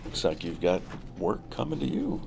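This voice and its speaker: A man's voice: deep voice, huge pitch swings, moderate pace, gravelly, dark tone, exaggerated voice. An actor at a table read playing an affable middle-aged man with chatty, falsely cheerful concern and a slyly probing manner. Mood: feigned concern. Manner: chatty, casual, feigned concern. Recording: noisy room recording of table read.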